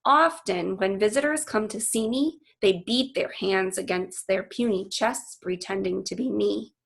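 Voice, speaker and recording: An earnest, anguished gorilla tells his own storybook tale, read by a woman in short dramatic phrases. The voice is husky with a creaky edge, and it moves slowly. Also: medium pitch. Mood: solemn, intense.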